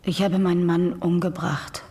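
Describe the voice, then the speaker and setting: An actress playing a traumatised, guilt-ridden wife in a radio drama, speaking haltingly and often sinking into a breathy near-whisper. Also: female voice, fairly low pitch, little pitch movement, mellow, close to an everyday voice. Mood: numb, confessing. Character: traumatised, guilt-ridden wife confessing to killing her husband. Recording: faint background noise.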